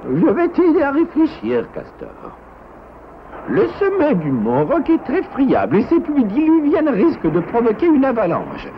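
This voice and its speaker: High-pitched, pompous goat doctor. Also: male voice, huge pitch swings, a little slow, heavily acted. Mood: stern, lecturing. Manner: formal, sententious, moralizing. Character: learned, dutiful, pompous elderly goat doctor. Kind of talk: French animated cartoon acting. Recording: dull lo-fi audio with noise.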